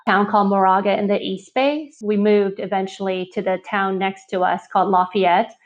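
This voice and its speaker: A woman's voice: mid-range voice, steady tone, moderate pace, lo-fi sound. A middle-aged woman with an American accent, recounting personal memories conversationally as an interview guest. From born in Taiwan, grew up in Northern California. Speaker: interview guest, restaurant founder.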